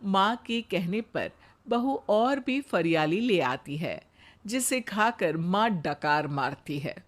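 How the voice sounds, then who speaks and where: Woman narrating a story, slowly. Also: mid-range voice, expressive. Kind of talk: scripted Hindi storybook narration.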